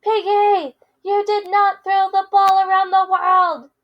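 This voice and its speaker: A woman's voice: extremely high pitch, little pitch movement, slow, lo-fi sound, pitched above the performer's own voice. A woman playing an earnest, patient male elephant in a children's picture book, reasoning plainly. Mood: earnest correcting.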